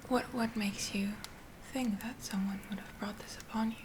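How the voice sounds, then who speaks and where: A woman playing a gentle, reassuring witch healer in a soft whispered ASMR roleplay, soothing and a little hesitant. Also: medium pitch, steady tone, moderate pace, background noise, lightly acted. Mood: concerned. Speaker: ASMR performer.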